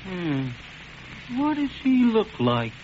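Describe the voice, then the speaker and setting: An actor playing an excitable American hoodlum sidekick with streetwise slang in a 1940s radio drama. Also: male voice, high voice, takes it slowly, exaggerated voice. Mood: suspicious. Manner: slangy, streetwise gangster talk. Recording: dull, hissy old radio recording.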